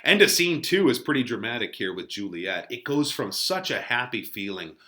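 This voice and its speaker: A man's voice: mid-range voice, sing-song, moderate pace, pushed and bright. A man teaching in a conversational, informal way, giving casual commentary on literature.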